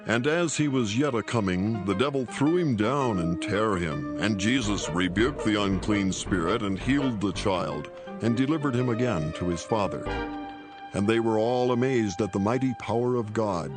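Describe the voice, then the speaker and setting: Scripture narrator, male: lowish voice, measured, solemn, formal delivery with faint music underneath. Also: expressive.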